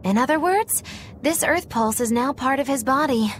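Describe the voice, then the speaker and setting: A woman's voice: high voice, animated, moderate pace, slight background noise. A woman playing a cool, sardonic, scheming witch with a wry, theatrical delivery in a game cutscene. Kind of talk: dramatic game cutscene acting.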